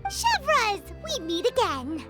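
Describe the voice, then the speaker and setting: A woman's voice: very high voice, huge pitch swings, slow pace, a bit bright, full character voice. A woman voicing a small, childlike fairy companion in a video game, chatty and inquisitive. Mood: cheerful. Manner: refers to herself by name, inquisitive. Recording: music behind the voice.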